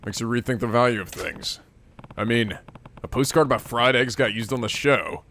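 A man voicing a stoic, gruff male yakuza hero in a video game, talking low, flat and serious. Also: normal speed, slight background noise.

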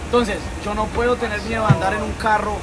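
A man's voice: sits high, level tone, fast. A young man with a Medellín Colombian accent, chatting casually and boastfully, full of slang, outdoors at night on a boat deck. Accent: Colombian, Medellín Spanish. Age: young adult. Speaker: streamer. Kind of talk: casual chat.

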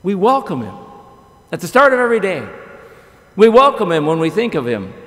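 A man's voice: high-pitched, huge pitch swings, brisk. A middle-aged man preaching a chapel sermon at a podium microphone, telling stories with wide gestures and rhetorical questions. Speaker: pastor. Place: large chapel with long reverb. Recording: echoey chapel hall.

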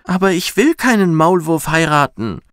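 A man voicing a tiny, gentle, sorrowful girl in a children's storybook, with a light, childlike delivery. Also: fairly high pitch, lively intonation, normal speed, no room sound, clean studio sound, voice pushed up. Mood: protesting.

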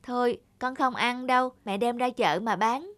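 A woman voicing a devoted, earnest teenage student from a poor family in an animated moral tale, polite and youthful in manner. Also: a little high, moderate pace, close to an everyday voice. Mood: concerned.

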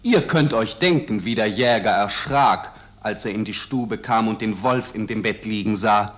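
A man narrating a fairy tale for children in a measured, expressive way. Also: fairly low pitch, a little hiss or hum.

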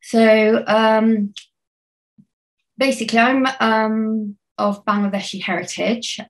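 A woman speaking on a video call in a hesitant way, with many pauses and fillers. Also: a little high, little pitch movement. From England. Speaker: panel speaker. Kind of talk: casual personal talk.

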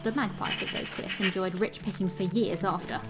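A woman's voice: mid-range voice, fairly even intonation, normal speed, lightly acted. A woman playing a jolly, theatrical storyteller, narrating an audio drama with relish and rhythmic lists. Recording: loud music behind the voice.

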